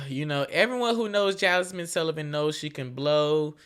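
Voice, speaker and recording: A woman's voice: sits low, moderate pace. Frank, slangy young woman podcasting solo. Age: 20s. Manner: slangy, frank and profane, with frequent "you feel me" asides; loose and rambling, with restarts. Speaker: podcast host. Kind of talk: casual solo podcast chat.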